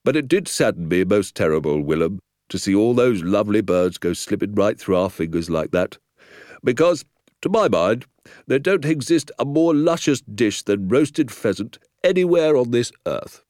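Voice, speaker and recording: A man's voice: lowish voice, big pitch swings, moderate pace, a little mellow, no echo, clean studio sound, voice pushed up. A man voicing a jovial village police sergeant with a rural British accent and a florid, folksy, emphatic manner. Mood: mournful relish.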